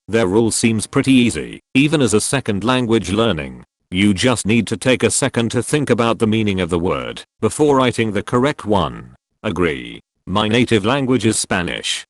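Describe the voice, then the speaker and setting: A man narrating a string of online forum posts as a scripted reading, in an even, matter-of-fact delivery on a close voice-over mic. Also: on the low side, no room sound.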